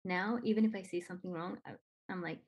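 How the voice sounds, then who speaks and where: A woman's voice: fairly low pitch, fairly even intonation, fast talker, darkish tone, slightly rough. A woman from Nepal who speaks English with a Nepali accent, talking earnestly and encouragingly with frequent ums and repeated phrases. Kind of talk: casual conversation.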